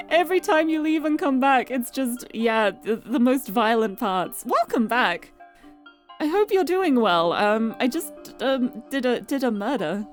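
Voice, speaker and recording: A woman's voice: high-pitched, expressive, measured pace. A woman streamer reading visual novel narration aloud, expressively and dramatically, with casual asides to viewers. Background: music.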